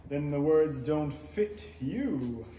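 A man's voice: fairly high pitch, lively intonation, slow pace, mellow, soft background music. A young man playing a muddled, self-satisfied king in a 1930s-style radio play, hemming and musing pompously. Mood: pleased. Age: young adult. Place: indoor stage in a small theatre. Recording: echoing stage pickup, faint noise.

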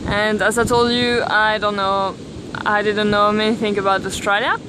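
A young woman from Belgium, filming herself at arm's length on a beach and talking thoughtfully with many pauses and restarts. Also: mid-range voice, flat delivery. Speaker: vlogger. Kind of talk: casual selfie vlog. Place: outdoors on a beach, windy. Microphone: camera at arm's length. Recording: wind noise on the mic.

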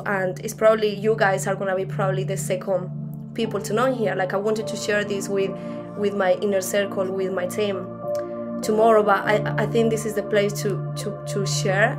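A young woman from Spain with a Spanish accent gives an informal, personal teaching in a halting, earnest, conversational way over a video call from a room at home. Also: medium pitch. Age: young adult. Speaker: preacher. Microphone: laptop on a video call. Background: music.

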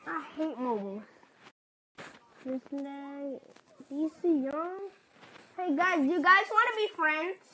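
A woman's voice: high-pitched, takes it slowly, long pauses, pushed and bright, rough recording. A woman commenting on her own game play, whining and teasing other players with playful trash talk. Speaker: gamer. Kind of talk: live gameplay commentary.

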